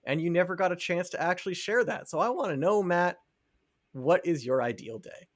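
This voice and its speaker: Young male co-host, teasing banter, animated pitch. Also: fairly quick. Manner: teasing, playful questioner. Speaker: podcast co-host. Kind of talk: casual podcast chat.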